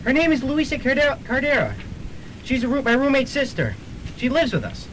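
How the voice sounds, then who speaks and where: A man's voice: wildly swooping pitch, brisk, a bit bright, exaggerated voice. An actor playing a timid, lovestruck college boy, hesitant and earnest. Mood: shy, defensive. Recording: faintly noisy student radio recording, rumble.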